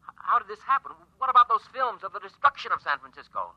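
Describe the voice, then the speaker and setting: An actor playing an authoritative, excitable middle-aged father with urgent, dramatic delivery in a 1950s radio drama. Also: high-pitched, fast talker. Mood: bewildered. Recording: dull vintage radio broadcast.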